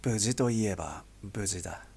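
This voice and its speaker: Male reader as stern intelligence chief, low-pitched, husky, weary, somber, terse, audiobook thriller. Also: expressive, normal speed, a little mellow, full character voice. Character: grim, weary male spy boss, stern and commanding.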